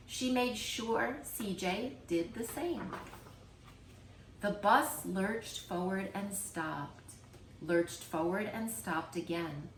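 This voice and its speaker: A woman narrates a picture book at an unhurried pace. She sounds like a storytime reader, measured in her delivery. The recording is roomy and a little distant from the mic, with faint noise. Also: medium pitch, fairly bright tone. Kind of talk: read-aloud children's story narration.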